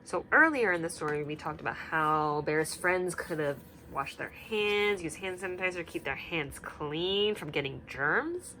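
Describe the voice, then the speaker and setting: A young woman teacher reading a picture book aloud and asking the children gentle questions, sometimes dropping to a whisper. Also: low voice, unhurried, hardly any pauses, slight background noise, rough recording. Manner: drops to a whisper at quiet parts.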